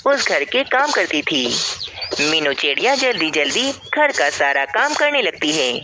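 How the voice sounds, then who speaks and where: A woman's voice: sits low, very animated, measured pace, noisy background. A woman narrating a scripted children's cartoon story as its storyteller.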